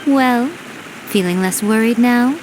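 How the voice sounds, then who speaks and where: A woman's voice: on the high side, a little slow, lightly acted. A woman playing a sly, composed shrine maiden schemer in a video game, teasing and knowing. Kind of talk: English video game dub acting. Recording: clear rain-like noise behind.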